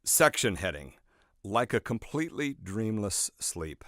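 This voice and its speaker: A man reading his own nonfiction book as scripted narration, in a measured, expressive storytelling way with emphatic phrasing. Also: on the low side, no room sound, clean studio sound. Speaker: author reading own audiobook.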